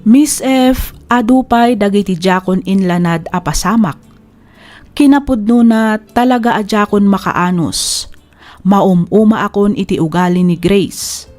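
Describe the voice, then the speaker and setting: Female program host, scripted letter-reading and advice, medium pitch over a music bed. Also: a little slow, a little mellow. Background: music under the voice.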